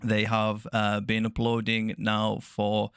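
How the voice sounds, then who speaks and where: A man hosting a soft-spoken interview podcast, chatty and laughing often, correcting himself as he goes. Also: fairly low pitch, completely flat delivery, takes it slowly, clean tone, no room sound, studio quality. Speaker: podcast host.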